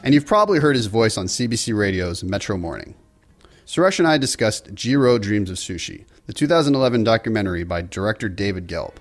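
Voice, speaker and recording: A man hosting a podcast, reading a scripted intro and then interviewing a guest, in a brisk, friendly manner. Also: fairly low pitch, big pitch swings, soft background music. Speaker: podcast host.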